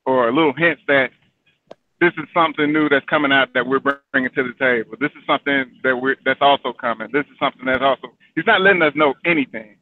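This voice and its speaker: A man in casual gaming chat, complaining in a measured way. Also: on the high side. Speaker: podcast guest. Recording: tinny phone-like call audio.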